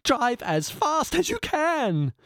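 Narrator voicing storybook philosopher, high-pitched, pompous, impatient, lively swoops. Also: measured pace, no echo, clean studio sound, close to an everyday voice. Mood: frantic shouting. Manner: shouting, impatient, self-important. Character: hurried, pompous male university philosopher.

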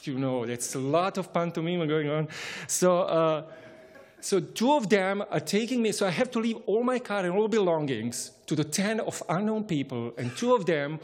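A man from Bosnia and Herzegovina telling a personal travel anecdote in English, chuckling often and restarting his sentences. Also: high-pitched. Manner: chuckling, halting, with frequent restarts. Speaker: storyteller.

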